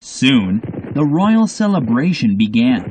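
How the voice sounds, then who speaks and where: Male fairy-tale narrator: very unhurried, lively intonation, scripted delivery for language learners. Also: medium pitch, slight background noise, poor audio. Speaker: storybook narrator.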